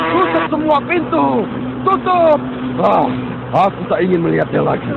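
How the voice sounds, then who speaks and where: A vain tyrant king in an animated film, his high, gravelly voice cracking as he shrieks at his guards. The sound is thin and phone-like, buried under loud hiss. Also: exaggerated voice. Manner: frantic, screaming orders. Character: panicking arrogant tyrant king, middle-aged man. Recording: phone-like lo-fi dub with loud noise.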